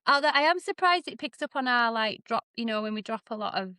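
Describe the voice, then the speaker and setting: A chatty woman in her thirties with a strong Yorkshire accent, co-hosting a podcast over a remote call. Her voice sits a little high, and she laughs easily and leaves sentences half finished. The sound is dry and close, with no room echo. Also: lively intonation, quick pace, bright, forceful tone, clear, resonant tone. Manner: chatty, laughs often, trails off mid-sentence. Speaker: podcast co-host. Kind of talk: casual chat. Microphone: remote recording on an iPad.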